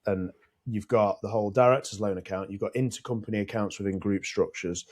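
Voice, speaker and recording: British male podcast co-host, lowish voice, blunt and profane, lays out long what-if scenarios. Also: brisk, a little mellow. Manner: plain-spoken, swears, lays out scenarios at length. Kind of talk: casual business chat. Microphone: podcast mic.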